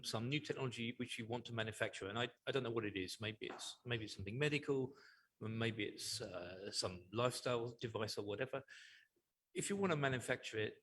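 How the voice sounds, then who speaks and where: A man's voice: mid-range voice, fairly bright tone, breathy edge. A man on a forum panel explaining a point in a plain, step-by-step way. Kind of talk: panel discussion.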